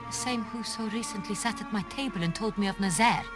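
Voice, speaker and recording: An actress playing a proud, imperious duchess in a video game drama, speaking in a formal, commanding way. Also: female voice, mid-range voice, fairly quick, close to an everyday voice. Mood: shocked, indignant. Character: proud, imperious duchess, protective of her sister. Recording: music behind the voice.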